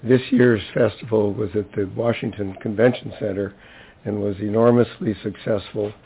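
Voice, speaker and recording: A hoarse older man with a fairly low voice addresses a public event as its host. He is chatty and lightly humorous in an informal speech. The recording is thin and phone-like. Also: steady tone, normal speed. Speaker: library center director introducing a speaker.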